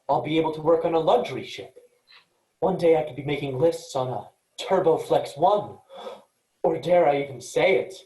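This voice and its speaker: A man's voice: fairly high pitch, mellow. A man playing an earnest, cautious, literal-minded space freighter worker, speaking precisely and matter-of-factly in a staged reading. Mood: dreamy, excited. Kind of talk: virtual staged reading of a sci-fi play. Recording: echoey room.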